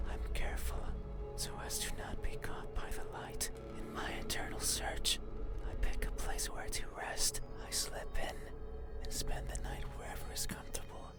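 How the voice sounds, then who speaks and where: A man voicing a creepy, lonely shadow demon in a horror game monologue, brooding and politely menacing in a breathy ASMR whisper. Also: extremely high pitch. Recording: whisper over loud music.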